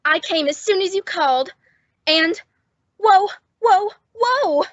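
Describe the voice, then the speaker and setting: A woman's voice: very high-pitched, expressive, slow pace, no echo, heavily acted. A woman playing an eager, awkward, smitten young bellboy with a flustered, hesitant manner in old-time radio comedy style. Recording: dull lo-fi close dry recording.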